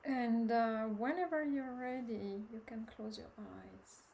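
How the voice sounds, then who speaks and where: A woman teaching guided meditation, speaking gently and hesitantly with long pauses, her soft voice often trailing into breath. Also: a little high, creaky, a little hiss or hum.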